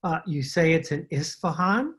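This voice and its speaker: A woman playing a nervous, evasive man in a radio detective drama, husky, hesitant and formally polite. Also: sits low, expressive, moderate pace. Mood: cautious, polite. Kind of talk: old-time radio detective acting. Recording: home recording over video call.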